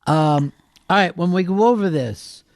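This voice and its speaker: A man's voice: high voice, unhurried, dry recording. A man hosting a comedy talk show with casual, joking, rambling banter.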